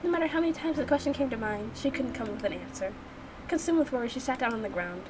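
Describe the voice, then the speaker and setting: A woman's voice: fairly high pitch, expressive, fast, background noise. A woman hosting a game playthrough, narrating it and adding playful, slangy, casual reactions. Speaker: gaming channel host. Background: background music.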